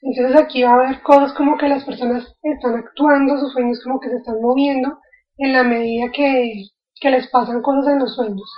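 High-voiced woman explaining. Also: fairly even intonation, brisk, dull audio. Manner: informal, thinking aloud with fillers and restarts. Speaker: educator. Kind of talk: explanatory video lecture.

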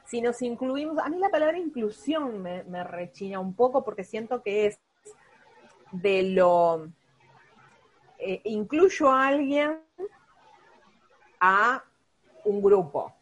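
A woman's voice: mid-range voice, animated, takes it slowly, pauses often, poor audio. A woman with a Rioplatense Spanish accent talking casually in a discussion, thinking aloud with emphasis.

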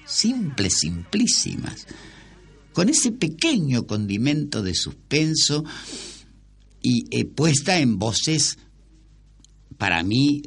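A man speaks in a reflective interview, emphatic and measured, building by repetition. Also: mid-range voice, vocal fry, breathy edge. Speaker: interviewee recalling radio drama.

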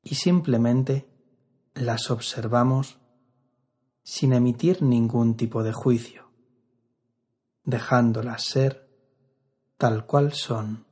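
A man leading a guided meditation as an instructor, calm and measured, with long pauses between phrases. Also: mid-range voice, husky.

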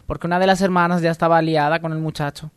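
A woman contributor on a comic radio show, recapping with hesitant fillers and sudden loud bursts. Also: low voice, steady tone, racing, a little hiss or hum.

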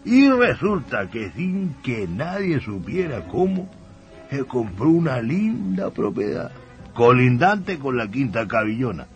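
A man's voice: medium pitch, big pitch swings, slow pace, soft background music. A man playing a chatty, wry country storyteller with a Chilean accent, narrating a radio drama in colloquial, confiding style. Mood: intrigued.

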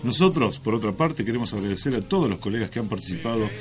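An Argentine man hosting a radio programme with music clearly playing behind him. His voice is on the low side, and he chats casually with dry, tongue-in-cheek humour. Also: lively intonation, fast talker. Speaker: radio host. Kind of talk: casual co-hosted radio talk. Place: radio studio.

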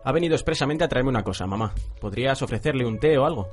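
Quick-talking, coolly calculating young man, acted by a man. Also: medium pitch, animated, faint music behind, lightly acted. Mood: reassuring. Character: cool, calculating student, politely controlling young man. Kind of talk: radio drama acting.

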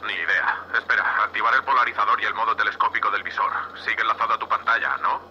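A man playing a gruff, impatient spaceship captain in an audio drama, curt and scolding, with a Castilian Spanish accent. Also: lowish voice, fast, sharp tone, highs cut off, a little hiss or hum. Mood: focused, tense.

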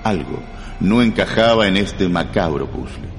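A man's voice: low-pitched, expressive, measured pace. A Chilean man narrating a true-crime radio drama in a solemn, ominous manner. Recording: long reverb, music underneath.

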